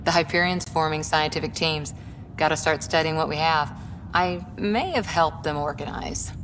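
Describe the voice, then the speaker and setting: Actress as deep-voiced, thoughtful female officer. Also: moderate pace, natural voice. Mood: friendly, teasing. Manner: casual, reflective. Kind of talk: video game dialogue. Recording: clear background ambient noise.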